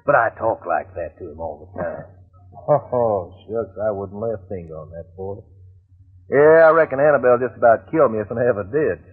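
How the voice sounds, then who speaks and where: An actor playing a talkative, good-natured backwoods soldier with an American Southern accent, folksy and rambling, in old-time radio drama style. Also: male voice, lowish voice, lively intonation, moderate pace, husky. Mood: earnest, folksy. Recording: muffled, narrow-band old radio recording.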